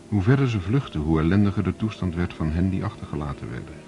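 Deep-voiced grave male narrator. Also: mellow, highs cut off. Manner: measured, grave storytelling. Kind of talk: scripted narration in a radio play.

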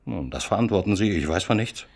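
Low-voiced, gruff police chief. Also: male voice, lively intonation, brisk, a little mellow, heavily acted. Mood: skeptical, commanding. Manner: terse, commanding, sardonic. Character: gruff, pressured male chief police inspector leading murder inquiry. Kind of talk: German radio crime play dialogue.

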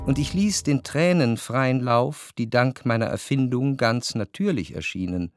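An actor playing an introspective, melancholy narrator, delivering literary radio drama narration in a measured, reflective way. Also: male voice, medium pitch, close to an everyday voice. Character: introspective, melancholy male narrator recalling his past. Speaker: first-person narrator. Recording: studio narration with faint music.

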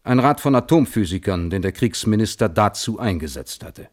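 An actor playing a guarded, reflective physicist on the witness stand in a German radio play, answering tersely and precisely. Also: male voice, fairly low pitch, expressive, moderate pace. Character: measured, guarded male physicist testifying as witness. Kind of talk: German radio play acting, hearing testimony.

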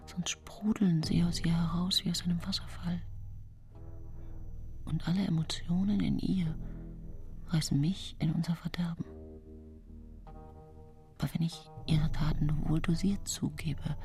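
A woman's voice: deep voice, a little mellow. A calculating, confiding woman poisoner. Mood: secretive, calculating. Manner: confiding, deliberate, shifting between whisper and voice. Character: accused woman poisoner, widowed, calculating, self-justifying, haunted. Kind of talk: radio drama monologue.

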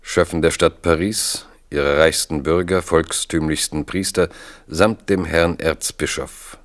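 Male radio drama narrator, very low pitch, scripted storytelling. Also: steady tone, a little slow.

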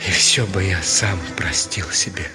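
An actor playing an anguished, proud, nervy gentleman consumed by guilt in a theatrical radio drama. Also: deep voice, a little slow. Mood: brooding, remorseful. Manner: theatrical, swinging from brooding confession to outbursts. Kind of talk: Russian radio drama acting. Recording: studio radio play with music behind.

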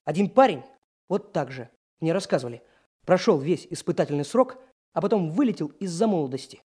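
Husky, scheming young man. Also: a little high, lively intonation, fairly quick, a little mellow. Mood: scolding, persuading. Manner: conspiratorial, persuasive. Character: brash, scheming young male police trainee. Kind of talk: radio drama acting.

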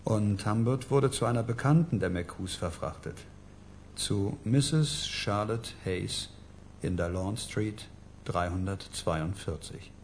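Low-voiced, composed male psychiatrist narrator. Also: a little hiss or hum. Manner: measured, clinical storytelling. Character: composed male psychiatrist recounting a patient's case. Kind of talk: radio play narration.

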